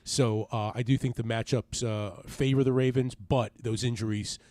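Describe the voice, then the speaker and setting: A man's voice: medium pitch, fairly even intonation, moderate pace, a little mellow, no echo. A man co-hosting a casual sports radio show, talking conversationally into a close studio mic.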